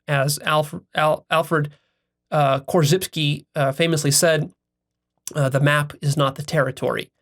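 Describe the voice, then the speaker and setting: A man with a slightly raised voice talks through ideas alone, earnest and reflective. He leans on key words and spaces them out slowly, with many "uh"s and restarted phrases along the way. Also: on the high side. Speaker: self-help channel host. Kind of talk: solo philosophical monologue.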